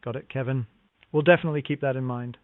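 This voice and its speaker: A man's voice: medium pitch, steady tone, fast, dry recording. A man working as a real estate phone agent, speaking politely in a scripted sales-call style with formulaic acknowledgements. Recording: narrow, tinny phone line.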